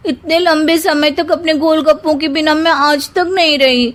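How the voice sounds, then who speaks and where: Woman acting a high-pitched pouty young daughter-in-law. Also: steady tone, normal speed, natural voice. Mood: defiant. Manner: pouty, theatrical, argumentative. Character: young whiny dramatic daughter-in-law obsessed with snack. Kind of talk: animated Hindi story voice acting.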